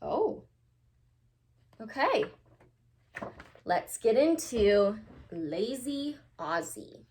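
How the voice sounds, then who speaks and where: A woman with a fairly high voice that swings widely in pitch. She speaks as a teacher to young children, reading and explaining with expressive emphasis. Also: very slow, pauses often, a little hiss or hum. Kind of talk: animated storybook read-aloud and lesson for young children.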